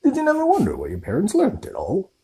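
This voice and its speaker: A man voicing a huge, hot-tempered but kindly gamekeeper, booming and growling in an audiobook. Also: huge pitch swings, a little slow, mellow, breathy edge, exaggerated voice. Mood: indignant. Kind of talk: audiobook character voice.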